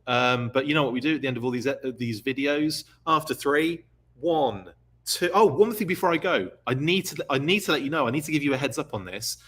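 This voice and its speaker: A man's voice: medium pitch, animated, fairly quick, fairly bright tone, breathy edge. A man hosting a live-stream news recap for a podcast, talking casually with lots of ums and uhs while losing his voice. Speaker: podcast host.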